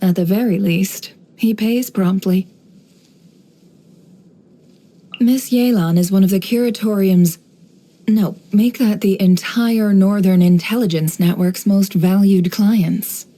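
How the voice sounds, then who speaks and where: Mellow, wry, knowing woman spymaster played by an actress. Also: medium pitch, lightly acted. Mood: dry, businesslike. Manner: composed, wry, knowing, measured. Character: shrewd, worldly woman who runs an intelligence business. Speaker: video game character voice actor. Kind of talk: scripted game character voice lines, monologue.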